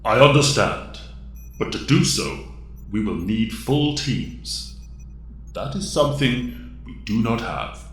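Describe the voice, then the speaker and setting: A grave hero-team leader acted by a man in an audio drama, speaking slowly and formally. His stoic, clipped lines ring in a very roomy echo. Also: mid-range voice, lively intonation, a bit bright, soft background music. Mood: grave, restrained.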